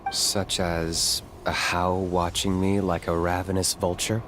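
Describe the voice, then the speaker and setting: An actor playing a calm young male warrior who speaks tersely and matter-of-factly. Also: low-pitched, measured pace, faint music behind. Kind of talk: game cutscene dialogue.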